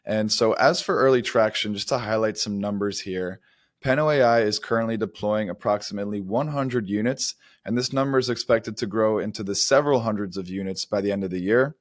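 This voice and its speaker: A man's voice: lowish voice, brisk, no echo, clean studio sound. A man co-hosting a podcast, explaining startup news in an articulate, semi-scripted way. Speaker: podcast co-host.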